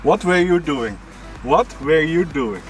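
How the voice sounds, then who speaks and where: Man, Dutch accent, car repair host, unhurried, chatty, coaching, music underneath. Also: on the high side, lively intonation. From Netherlands. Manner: chatty, coaching a helper and addressing viewers as guys. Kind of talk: casual how-to car repair walkthrough. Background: background music.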